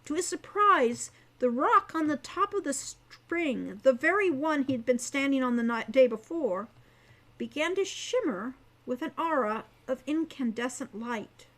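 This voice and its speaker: A woman's voice: high-pitched, animated, unhurried, a bit bright. A woman reading a scripted fantasy story as an audiobook narrator. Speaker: author reading own audiobook.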